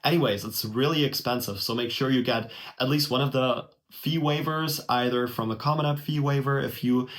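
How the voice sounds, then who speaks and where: A man's voice: medium pitch, fairly even intonation, moderate pace, hardly any pauses, a bit bright. Brief: young German man, native German speaker, casual friendly how-to walkthrough, close webcam in a small room, mid-range voice. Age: young adult. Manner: friendly, direct, speaks to the viewer. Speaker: vlogger explaining a college application.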